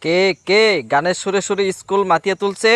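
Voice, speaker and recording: A man's voice: high voice, steady tone, fairly quick, fairly bright tone. A man voicing a stern, easily flustered schoolteacher who scolds theatrically in a comedy cartoon.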